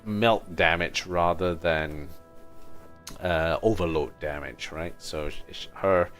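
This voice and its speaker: A man's voice: low voice, slow, a bit bright. A man livestreaming a video game with casual commentary, muttering to himself as he thinks aloud. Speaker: livestreamer, gamer. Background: game music.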